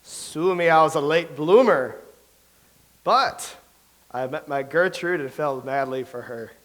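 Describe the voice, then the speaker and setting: An actor playing a chatty, boastful young playwright from old England in a staged play reading, rambling and reciting verse slowly. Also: male voice, fairly high pitch, lively intonation, a bit bright.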